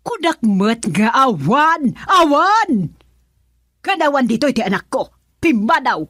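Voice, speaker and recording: A defensive mother in a radio drama, argumentative and exclamatory. Her voice is fairly high and husky, swinging widely in pitch as she quarrels. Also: sing-song, a little slow, fairly bright tone, no room sound, studio quality, exaggerated voice. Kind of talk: Ilocano radio drama acting.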